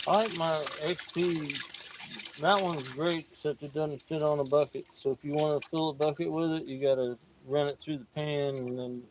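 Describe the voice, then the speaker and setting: A man's voice: on the high side, normal speed. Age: 50s. Man, creek noise behind. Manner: relaxed, rambling storyteller. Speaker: prospecting livestream host. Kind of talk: casual outdoor chat. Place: outdoors by a creek.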